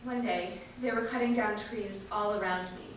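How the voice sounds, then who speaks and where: A woman giving an earnest, emphatic dramatic reading of a first-person monologue, with deliberate pauses. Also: mid-range voice, steady tone. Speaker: reader performing an activist's first-person testimony. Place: large indoor event space, distant microphone. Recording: echoey hall from far off, faint noise.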